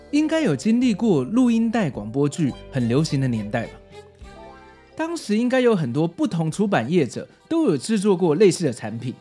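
Man, Taiwanese Mandarin accent, slightly high voice, animated melody, nostalgic scripted narration, explanatory host. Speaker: host of a retro pop-culture video series.

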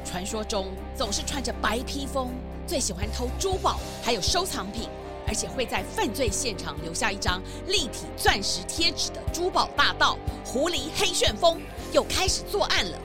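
A woman narrating a children's detective story in an expressive, scripted storytelling style. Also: a little high, normal speed, over loud music.

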